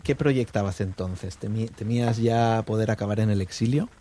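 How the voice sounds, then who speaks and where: Male interviewer, dark and low, faint noise behind. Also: moderate pace.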